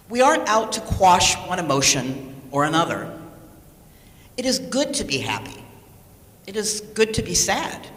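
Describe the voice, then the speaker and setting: In an echoey hall with long reverb, a woman reads a sermon from a script. Her delivery is measured and deliberate, and her pitch moves in a lively, wide range. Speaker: lay speaker reading a sermon.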